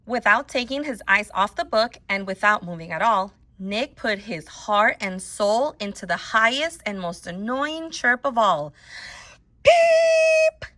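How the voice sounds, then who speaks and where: A woman reading a children's book aloud as a teacher, in an expressive storytelling style with deliberate emphasis. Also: medium pitch.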